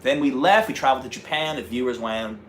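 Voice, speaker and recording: A man's voice: medium pitch, fast talker, slight background noise. A man with a slight North Jersey accent, hosting a casual travel-channel livestream and answering viewer questions. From North Jersey, USA. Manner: chatty, answers viewer questions, restarts and fillers.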